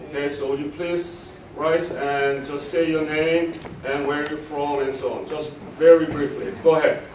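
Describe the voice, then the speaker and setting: A man hosts a ceremony, making formal introductions with the odd repeated word. His voice sits somewhat high and comes from a distance, with the room's echo around it. A noisy hum runs behind him. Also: level tone, darkish tone. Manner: formal, slightly halting, with repeated words. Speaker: ceremony host. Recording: echoey hall recorded from far off.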